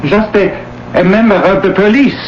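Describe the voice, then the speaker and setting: A man's voice: high-pitched, moderate pace, close to an everyday voice. An actor playing an anxious, devoted friend of a lady in a radio drama, speaking with urgent concern. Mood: hesitant, alarmed. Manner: urgent, solicitous. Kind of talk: 1980s radio drama acting. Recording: dull, noisy old radio broadcast.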